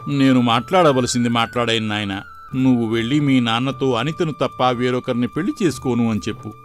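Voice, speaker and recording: A man acts out an elderly village priest for a moral tale cartoon. He is kindly and firm, a shrewd adviser who speaks at a middle pitch, with music playing underneath. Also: fairly quick, lightly acted. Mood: stern, prodding. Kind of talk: moral story cartoon dialogue.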